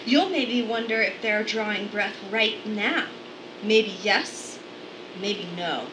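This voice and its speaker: A woman's voice: mid-range voice, close to an everyday voice. A woman playing a menacing older aunt. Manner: calm, slow, threatening. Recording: echoey room with clear noise.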